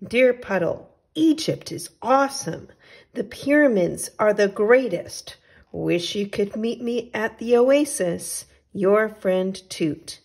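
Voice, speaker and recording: A woman reading as an adventurous, affectionate male storybook traveler, with exclamatory phrasing and drawn-out sign-offs. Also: medium pitch, animated, a little mellow, husky, exaggerated voice. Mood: eager, affectionate. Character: adventurous, affectionate storybook traveler writing postcards to his friend.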